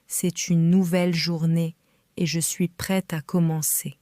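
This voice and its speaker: Female reader for beginner lessons, calm and careful, flat even delivery, dry close sound. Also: lowish voice, a little slow, dry recording. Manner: calm, careful, clearly spaced phrases. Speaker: French reader for learners. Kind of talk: slow scripted reading for beginners.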